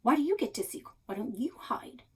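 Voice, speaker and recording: A woman's voice: on the high side, very animated, a little fast, breathy edge, pitched above the performer's own voice, exaggerated voice. A woman voicing a stubborn, competitive young girl with a sarcastic, challenging manner, in a children's book read-aloud. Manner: snorting, challenging, interrupting.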